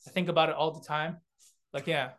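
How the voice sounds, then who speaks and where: A man's voice: on the high side, brisk. A young man from South Korea, a comedian guest chatting casually and acting out little voices. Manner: animated, many restarts and fillers, acts out voices. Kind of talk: casual chat.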